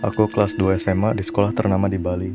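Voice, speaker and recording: A man performing an ordinary, easygoing high school boy in an animated visual novel. His voice is even and understated, almost without pitch movement. Music plays clearly behind him. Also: very fast, lightly acted. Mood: understated, reflective. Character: reserved, easygoing teenage boy, ordinary student. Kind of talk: acted visual novel drama.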